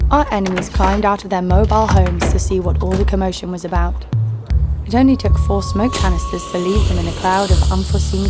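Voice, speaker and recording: A woman performs a cynical bounty hunter telling her own story as audio drama narration. She is dry and cool, with a medium pitch. Music plays clearly behind her. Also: close to an everyday voice. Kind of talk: first-person scripted drama narration.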